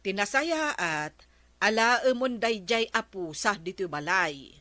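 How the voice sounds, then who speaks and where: Sharp, scolding Ilocano village wife. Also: female voice, medium pitch, expressive, measured pace, no room sound. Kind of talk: radio comedy drama acting.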